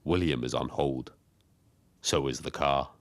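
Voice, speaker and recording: A man with a British accent playing a lonely, watchful taxi driver who narrates an audio drama in a brooding, measured way. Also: a bass voice. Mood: brooding, observant. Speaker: narrator and lead character. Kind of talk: scripted first-person audio drama narration.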